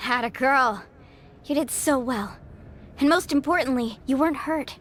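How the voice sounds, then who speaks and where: A woman's voice: high voice, moderate pace, fairly bright tone, a little hiss or hum. A woman voicing a caring, confident young adventurer in a video game, composed and encouraging like a protective big sister. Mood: reassuring.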